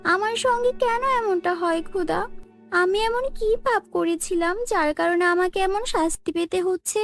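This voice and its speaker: A woman's voice: very high-pitched, moderate pace, soft background music, pitched above the performer's own voice. A woman voicing a humble, sorrowful young seamstress in a cartoon fairy tale, with a plaintive, pleading delivery. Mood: anguished pleading.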